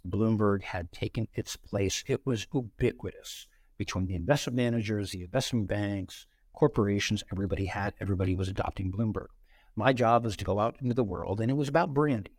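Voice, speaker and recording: A middle-aged man, a podcast guest, telling career stories in an animated way and acting out quoted dialogue. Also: fairly low pitch, a little fast, dry recording. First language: bilingual, Brazilian parents. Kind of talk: conversational interview storytelling.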